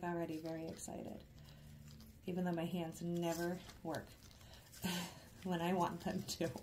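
A woman with a hoarse, froggy voice narrates a relaxed DIY project from her living room. She is chatty and quick to poke fun at herself. Her tone has a gravelly edge, and a faint hiss sits under the recording. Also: fairly low pitch. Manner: chatty, self-deprecating, giggly. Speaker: craft vlogger. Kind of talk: casual DIY tutorial narration. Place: living room, working at a coffee table.

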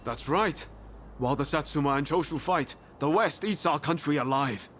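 An actor playing a determined, idealistic young samurai in a video game cutscene, speaking in an earnest, conversational and persuasive way. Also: male voice, a little high, moderate pace, a bit bright, slight background noise, natural voice. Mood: passionate, persuasive.

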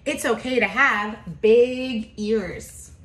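A woman's voice: a little high, very slow, a bit bright, slight background noise. A woman in her thirties reading a picture book aloud to young children, expressively, with simple explanatory asides. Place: home kitchen, indoors. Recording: roomy, echoey home kitchen.

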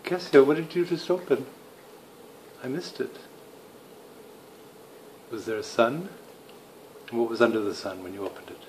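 A man chatting casually as a father with a toddler, gently prompting with questions. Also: medium pitch, very animated, moderate pace, pauses often. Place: tiled living room at home. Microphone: handheld phone, behind the camera. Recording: noisy home phone video.

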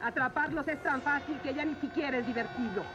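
A woman plays a scornful cartoon sentry, stern and very high in voice. She speaks Latin American Spanish in dub style, with loud music behind her. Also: brisk, natural voice. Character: stern, scornful female city guard. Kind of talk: dubbed cartoon acting.

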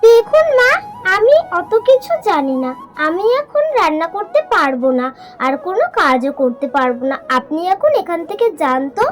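A woman's voice: extremely high pitch, very animated, normal speed, faint music behind. A woman voicing a lazy, defiant young daughter-in-law in a cartoon story. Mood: irritated defiant.

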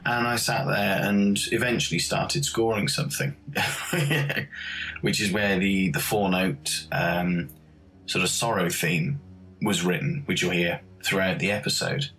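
A man's voice: low-pitched, fairly even intonation, unhurried, fairly bright tone, low-quality recording. A man, a composer, talking casually in an interview about his own work. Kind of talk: casual behind-the-scenes reminiscence.

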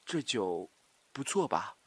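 An actor playing an obsessive, scheming jilted lover in a Chinese audio drama, swinging from soft pleading to taunting menace. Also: male voice, lowish voice, very animated, slow, slightly rough, exaggerated voice. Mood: plaintive coaxing.

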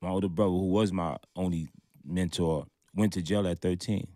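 A man's voice: low-pitched, steady tone, normal speed, warm tone, no room sound. A man in his thirties, a guest in a radio studio discussion, speaking earnestly about his past and often repeating words. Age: 30s. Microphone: studio mic.

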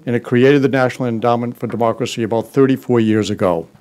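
A man's voice: medium pitch, quick pace, a little mellow. An older man with a broad Boston accent talks from a podium microphone in a big conference hall. He keeps it loose and joking, stumbling into restarts and fillers as he introduces the next speakers. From Boston area, US. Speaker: board member introducing speakers. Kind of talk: informal podium speech. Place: large conference hall, indoors.

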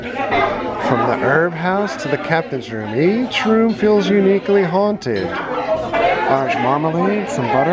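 A man in his forties giving a wry, chatty running commentary as he tours sights on a trip, filmed on a handheld camera. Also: medium pitch. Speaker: travel vlogger. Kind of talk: casual travel vlog narration. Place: busy indoor restaurant. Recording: noisy restaurant crowd around him.

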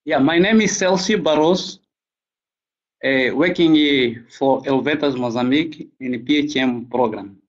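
A man whose first language is Portuguese, speaking English with an accent in a halting way, searching for words on a video call. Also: mid-range voice. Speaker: program staff presenter. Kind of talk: remote webinar contribution. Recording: dull lo-fi call audio.

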